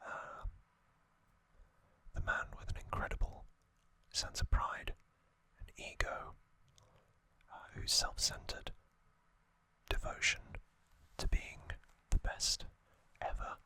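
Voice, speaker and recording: A man's voice: takes it slowly, pauses often, sharp tone. A man whispering in ASMR style as he recounts trivia, hesitant and full of ums and uhs. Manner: hesitant, self-correcting delivery. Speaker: ASMR creator.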